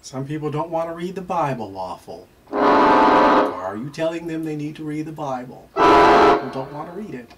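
A man's voice: fairly high pitch, animated, normal speed. A middle-aged man hosting a playful question and answer show, reacting aloud to an unseen guest with lots of exclamations and laughs. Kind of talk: animated comedic Q&A chat. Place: indoor room before a pale curtain. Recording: distant mic with loud guitar music behind.

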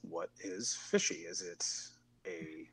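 A man takes part in an online roleplay game as the captain. He speaks casually in character, making up dialogue in a somewhat low voice. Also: big pitch swings, slow pace, gravelly, a bit bright, husky. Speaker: roleplay game player, playing a ship's captain.

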